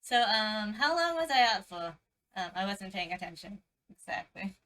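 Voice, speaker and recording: A woman streamer chatting casually and haltingly, full of fillers. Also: medium pitch, sing-song, bright, forceful tone, distant mic. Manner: halting, filler-heavy, flustered. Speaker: game streamer. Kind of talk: casual live-stream chat.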